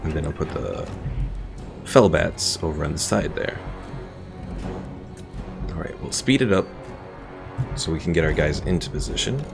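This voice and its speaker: A man's voice: extremely low pitch, expressive, moderate pace, vocal fry, pauses often. A man streaming a strategy game, chatting loosely and answering viewer questions in a rambling way full of "like" and "kinda". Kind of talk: casual live-stream commentary reading chat. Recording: game music playing under the voice.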